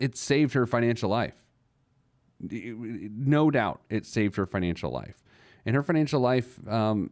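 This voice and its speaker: A man's voice: fairly low pitch, darkish tone, dry recording, studio quality. A man hosting a personal finance show, giving conversational advice in an emphatic manner with rhetorical questions and joking asides.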